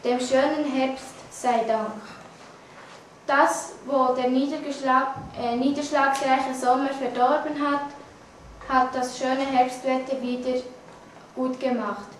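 Young female student newsreader, Swiss German accent, slow halting delivery, very reverberant classroom. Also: high voice, steady tone. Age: teenager or young adult. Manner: halting, stumbling reading. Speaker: student news reader. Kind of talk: scripted news reading. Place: classroom, indoors. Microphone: camera mic at a distance. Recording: echoey room, distant mic, faint noise.

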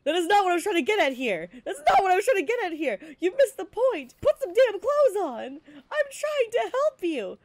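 A woman acts out an overprotective brother in a soap-opera game roleplay. He is scolding, slangy and easily flustered, with a very animated delivery that swings in pitch. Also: moderate pace, few pauses, dry recording. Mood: exasperated. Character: gruff overprotective brother, exasperated young man.

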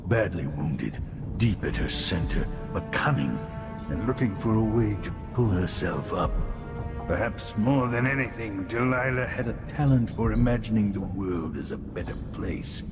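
Gravelly, reflective old man. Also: fairly low pitch, expressive. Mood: reflective, wistful. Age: older. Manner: measured, reflective, articulate. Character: weary, reflective elderly male mentor. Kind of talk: dramatic video game acting. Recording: music under the voice.

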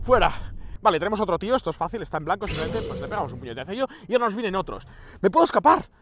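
A man in his thirties with a Spanish accent from Spain, giving excited commentary while playing a virtual reality game outdoors, exclaiming and laughing at his viewers. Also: sits high, racing, a little hiss or hum. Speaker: VR gaming youtuber. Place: outdoors on an empty road at dusk. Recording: music under voice. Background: background music.

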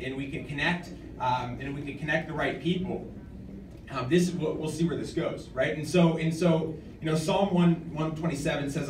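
An American man in his thirties giving an informal, halting acceptance speech at a podium, often repeating words as he goes. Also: fairly high pitch. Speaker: award recipient and nonprofit director giving an acceptance speech. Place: hotel function room, indoors at a podium. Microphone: podium microphone, captured by a camera in the audience. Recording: distant, echoey room with clear background noise.

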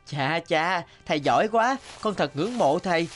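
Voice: mid-range voice, animated, normal speed, soft background music, close to an everyday voice. An actor voicing a young boy apprentice healer in a cartoon, eager, naive and admiring, with a curious, respectful manner. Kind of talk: Vietnamese cartoon voice acting.

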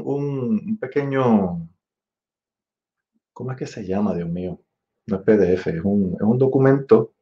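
A man in his forties with a Puerto Rican accent, teaching an online music masterclass in a relaxed, chatty way, singing rhythms with syllables. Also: mid-range voice. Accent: Puerto Rican Spanish. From Puerto Rico. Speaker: trumpet teacher. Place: room with green wall. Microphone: webcam at desk. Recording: dull lo-fi webcam audio.

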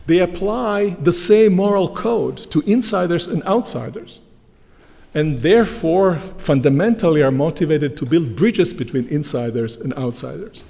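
A middle-aged man giving an academic lecture from a lectern, measured and emphatic. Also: a little high, sing-song, creaky, warm tone. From Croatia. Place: large lecture hall, standing at a lectern. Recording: long hall reverb from lectern mic.

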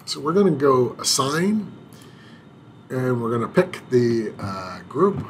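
A man walking through a software setup on screen in an unhurried tutorial, pausing often with fillers and restarting his phrases. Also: medium pitch, sing-song, a little hiss or hum. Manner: unhurried, hesitant, many filler sounds and restarts. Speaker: IT administrator demonstrating software setup. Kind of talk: screen-recorded tutorial walkthrough.

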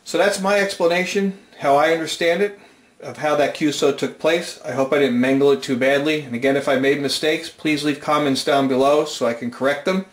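The room rings noticeably around an American man's slightly high voice. He explains like a hobbyist host at a whiteboard, informal but methodical, laying things out step by step. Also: steady tone, brisk. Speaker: amateur radio channel host, presenter. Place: small indoor room at a whiteboard. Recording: roomy, reverberant indoor sound.

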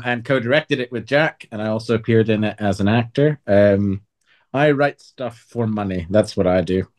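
Wry Canadian man, medium pitch. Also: a little mellow. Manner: wry, joking, self-deprecating. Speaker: guest, writer, actor and musician. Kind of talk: casual interview chat.

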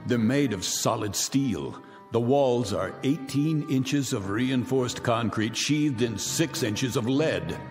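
An actor playing a bitter, vengeful millionaire in a radio drama, speaking with sardonic, icy taunts. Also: male voice, medium pitch, slow pace, big-room sound, faint music behind, close to an everyday voice. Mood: cold mocking. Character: bitter vengeful male millionaire, cold and mocking.

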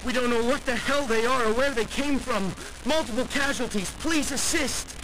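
A woman's voice: on the high side, moderate pace, lightly acted. A woman voicing an alarmed mining station worker pleading urgently for rescue in a video game. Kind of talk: video game distress transmission. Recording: noisy radio distress transmission.

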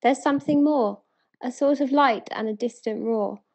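British female, slightly high voice, as an eager ancient townswoman played by a woman in spoken-word verse; earnest, full of wonder. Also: moderate pace. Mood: curious. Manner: earnest, excited, pleading.

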